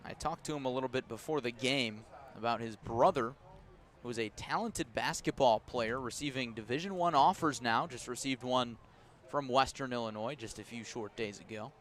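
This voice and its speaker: A man doing live radio baseball play-by-play, describing each pitch in detail and filling in with player stories. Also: moderate pace, slight background noise. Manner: descriptive, storytelling between pitches, rising to excited calls on big plays.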